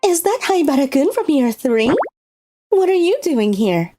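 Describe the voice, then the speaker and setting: A woman's voice: sits high, sing-song, unhurried, dark tone, faint music behind. A woman voicing a young female teacher with a sweet front and a thuggish temper in a manga dub. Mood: sugary. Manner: switches between sugary and menacing.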